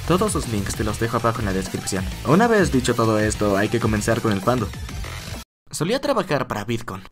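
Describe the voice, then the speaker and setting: Brief: male storytime narrator, mid-range voice, very animated intonation, music underneath. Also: medium pitch, brisk. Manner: expressive.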